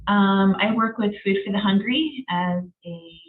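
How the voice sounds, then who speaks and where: A woman speaks in a friendly, quick manner over a video call. She sounds far from the mic, tinny and echoey, with faint noise behind her. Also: medium pitch. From United States. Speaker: senior education specialist. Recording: distant, phone-like, echoey line with faint noise.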